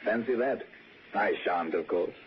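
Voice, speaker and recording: A man's voice: fairly low pitch, measured pace, natural voice. A man playing a courteous, wistful invalid English lord with a British accent, in 1960s radio drama style. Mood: wistful, indulgent. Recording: muffled, faintly noisy old radio broadcast.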